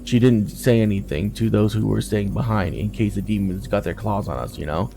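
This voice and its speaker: Man reading as a blunt, low-voiced soldier. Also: brisk, gravelly, mellow, soft background music. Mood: grumbling. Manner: colloquial, blunt. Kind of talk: game dialogue read aloud.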